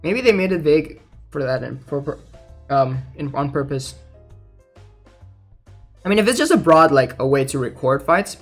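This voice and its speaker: A gaming content creator talks in a casual, mid-pitched voice with music behind. The delivery is conversational commentary on game news, switching now and then into reading aloud. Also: animated, moderate pace, pauses often.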